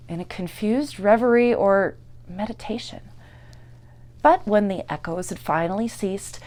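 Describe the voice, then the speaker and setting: A woman narrating a classic literary tale in a theatrical, deliberate way, swelling loud and dropping to hushes. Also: on the low side. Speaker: actor and narrator. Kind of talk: dramatic literary story narration.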